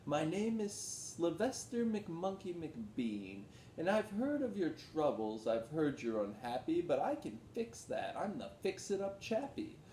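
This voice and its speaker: A man's voice: big pitch swings, a little slow, hardly any pauses, warm tone. A man voicing a sly, cheery swindling salesman from a storybook, with boastful, persuasive patter. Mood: eager pitch. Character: sly, cheery salesman stranger, slick fast-talking swindler. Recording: roomy echo with background rumble noise.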